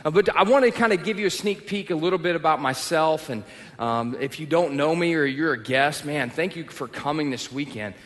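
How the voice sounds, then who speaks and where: A young Texan man preaching to a church crowd, his fairly high voice ringing out with a long hall reverb. He tells stories from his own life and pokes fun at himself along the way. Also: a little fast, a bit bright. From Texas. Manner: personal storytelling with self-deprecating humor. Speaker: pastor. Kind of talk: sermon. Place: church auditorium.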